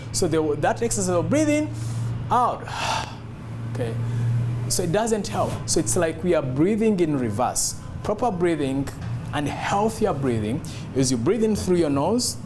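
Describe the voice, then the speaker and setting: A man giving an instructional talk as a public speaking coach, emphatic and demonstrative, repeating key phrases. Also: on the high side.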